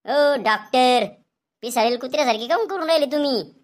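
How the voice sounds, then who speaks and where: A man's voice: very high-pitched, fairly quick. A man voicing a cheeky, doubting male patient in a comedy cartoon, complaining and arguing in a Vidarbha Marathi accent. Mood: alarmed, protesting. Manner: complaining, argumentative.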